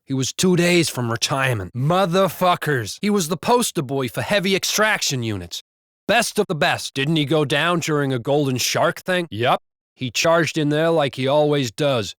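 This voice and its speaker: An actor playing a gossipy, foul-mouthed American cop in casual, blunt video game banter. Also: male voice, fairly high pitch, expressive, normal speed, a bit bright, no echo, clean studio sound. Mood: grim, weary. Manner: casual, blunt, profane wisecracking.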